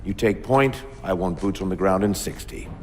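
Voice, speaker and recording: A man playing a gruff, commanding older military captain in a video game cutscene, brusque in manner. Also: low-pitched, normal speed, big-room sound, a little hiss or hum, close to an everyday voice. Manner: brusque, authoritative.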